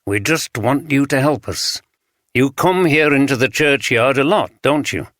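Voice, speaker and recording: A man voicing a calm senior detective who speaks gently and patiently. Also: medium pitch, no room sound, clean studio sound, voice pushed up, lightly acted. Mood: gentle. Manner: gentle, reassuring, measured.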